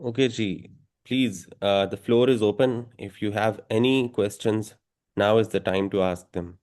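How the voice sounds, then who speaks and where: A man's voice: fairly low pitch, fairly even intonation, measured pace, a little mellow. A man moderating a webinar question session, courteous and switching between English and Hindi-Urdu. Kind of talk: moderating a live Q&A.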